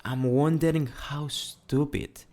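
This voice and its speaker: A man's voice: on the high side, fairly even intonation, takes it slowly, mellow. A young man with a Greek accent, a fitness vlogger talking earnestly to camera in a large indoor gym, in simple wording with restarts. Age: young adult. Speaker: fitness youtuber. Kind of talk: casual vlog talk to camera.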